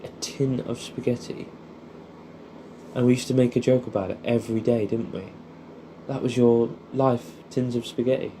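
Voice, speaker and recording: Mellow-voiced English man reminiscing casually. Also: mid-range voice, fairly even intonation, moderate pace, slight background noise, poor audio. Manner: rambling, nostalgic, swears freely, tags questions onto statements. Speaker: audio creator. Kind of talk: casual intimate reminiscing addressed to an old friend.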